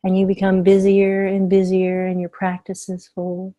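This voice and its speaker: Female hypnotherapist for guided affirmations: dark, soft-edged tone, almost no melodic movement, soothing, deliberate delivery with emphatic repeats. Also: mid-range voice, completely flat delivery.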